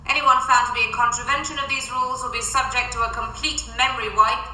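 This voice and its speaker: Stern, piercingly bright-voiced agency chief. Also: female voice, fairly high pitch, steady tone, fast talker. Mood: commanding, grave. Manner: clipped, authoritative, dryly sarcastic. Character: stern, commanding female secret agency chief. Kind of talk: modern radio drama acting. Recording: roomy, distant, slightly noisy.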